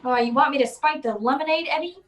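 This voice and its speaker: A tough, world-weary female private eye as a woman acts her, with a fairly high voice. She is wry and commanding, in the manner of old radio detective drama. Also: quick pace, natural voice.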